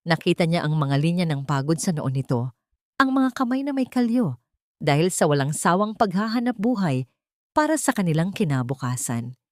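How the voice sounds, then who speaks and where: A woman tells a scripted drama as its narrator. Her voice is slightly low and recorded dry and close. The delivery is solemn and emotive, with a literary, storybook phrasing. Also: expressive, normal speed, no echo.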